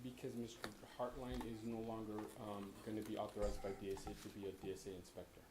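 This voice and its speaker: A man's voice: fairly low pitch, steady tone, fast talker. A man explaining a staffing detail to a school board, plainly and with examples. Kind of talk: explaining at a meeting. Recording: echoey room with faint background noise.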